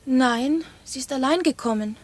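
A sheltered young noblewoman in a radio play. Her voice is fairly high and breathy, earnest and wistful in manner. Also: normal speed. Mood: earnest, uneasy. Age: young adult. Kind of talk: radio drama acting.

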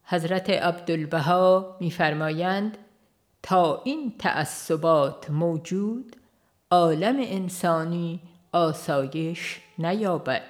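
A woman reads a religious book as a formal audiobook narrator, in a solemn, measured way. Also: fairly low pitch. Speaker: audiobook reader.

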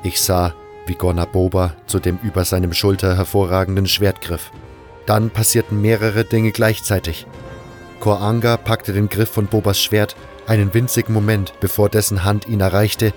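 Guy narrating an audio drama, lowish voice, faint music behind. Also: a little mellow. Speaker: first-person narrator.

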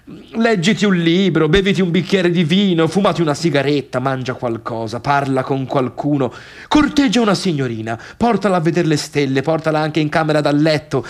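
An actor playing an agitated, obsessive misfit in a radio drama monologue, speaking theatrically with halting repetitions. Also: male voice, on the high side, expressive, close to an everyday voice. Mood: exasperated.

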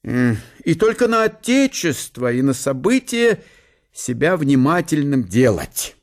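A man playing a stern, pedantic middle-aged father in a radio drama, with a German accent and halting, broken grammar. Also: fairly high pitch, very animated. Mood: pompous, lecturing.

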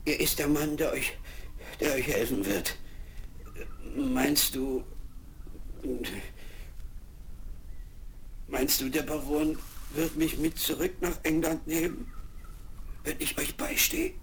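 Castaway, male,, nervous stammer, slow delivery, noisy vinyl. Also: fairly high pitch, a bit bright. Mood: eager. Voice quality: stammering, halting. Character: ragged marooned male castaway, jittery and stammering. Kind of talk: 1970s radio play acting.

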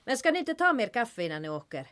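A woman playing a tough, unflappable bar owner in an audio drama, speaking in a brisk, matter-of-fact way. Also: on the high side, big pitch swings, fairly bright tone. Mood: calm. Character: tough, unflappable female bar owner hiding grim secrets.

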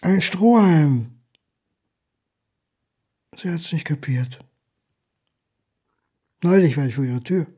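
An actor playing a lonely, wounded illegitimate son in a bitter, halting audio drama monologue to his absent father. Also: a little high, dark tone, husky. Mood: wounded, wistful.

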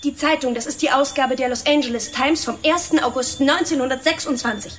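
Higher-voiced, poised actress as a young heiress. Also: female voice, brisk, natural voice. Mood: coolly amused. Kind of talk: radio drama acting.

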